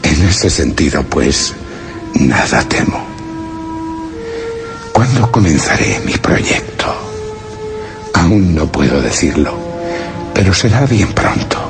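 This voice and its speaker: An actor from playing an anguished, secretive explorer in a suspense radio drama, narrating in grave, formal, literary language. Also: male voice, low voice, wildly swooping pitch, slow, vocal fry, pauses often, over music, exaggerated voice. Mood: resolute, guarded. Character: anguished male explorer hiding among a foreign people, solemn and secretive.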